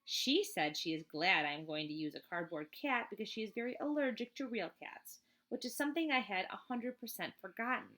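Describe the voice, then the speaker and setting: A woman reading a children's book aloud as a teacher, in an expressive, chatty way with casual asides. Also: medium pitch, a little fast, few pauses. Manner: expressive, chatty, emphatic on list items.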